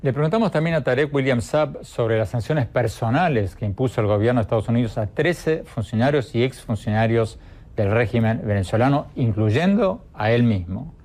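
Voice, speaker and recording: A man anchoring a news program, presenting a report and putting measured questions to a guest. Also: mid-range voice, expressive, darkish tone, faint music behind.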